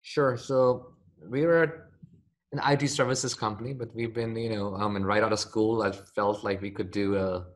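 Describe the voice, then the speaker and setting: A man's voice: on the low side, fairly even intonation, moderate pace. A middle-aged man from India with an Indian accent, a company founder answering interview questions over a video call, hesitant with many fillers and restarts. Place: home office with bookshelves, window behind.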